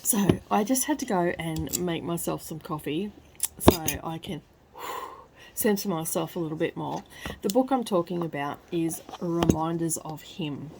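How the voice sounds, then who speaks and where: A woman with a croaky voice from crying, talking softly at home in a casual book review vlog. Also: lowish voice, measured pace, very noisy. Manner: hushed, soft-spoken so as not to wake others. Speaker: book vlogger. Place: at home, early morning.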